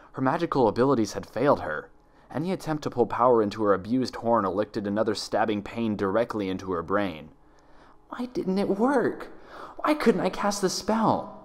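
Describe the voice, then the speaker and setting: A man reading a story aloud as narrator in a dramatic scripted style. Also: on the low side. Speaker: fan fiction narrator.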